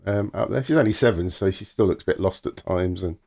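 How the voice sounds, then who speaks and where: Man, middle-aged, easygoing, chatty, lower pitch. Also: fast, creaky, darkish tone. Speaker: podcast co-host. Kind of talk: casual chat.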